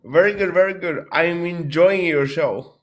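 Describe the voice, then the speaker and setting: A Croatian man in his thirties calls into a dating show over a video call. He speaks English as a second language, in a voice a touch high for a man. He is earnest but halting, filling his pauses with "uh" and restarting his sentences. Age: 30s. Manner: hesitant, many uh and restarts, earnest.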